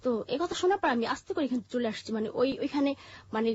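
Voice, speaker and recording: High-voiced Bangladeshi woman, husky and halting. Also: expressive, darkish tone, no echo. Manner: halting, with frequent pauses and repeated phrases. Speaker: guest telling own life story. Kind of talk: personal life-story narration in an interview. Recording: muffled, dull lo-fi audio.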